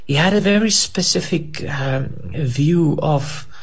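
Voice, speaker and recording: Gravelly, husky man speaking haltingly. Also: a little high. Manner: halting, many fillers. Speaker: pastor. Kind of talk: panel discussion.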